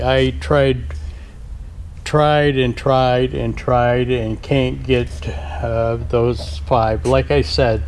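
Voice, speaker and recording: A man talking casually through a walkthrough of his workshop, rambling with plenty of fillers and restarts, recorded on an action camera indoors. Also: mid-range voice. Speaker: shop owner and builder. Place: large workshop garage, indoors.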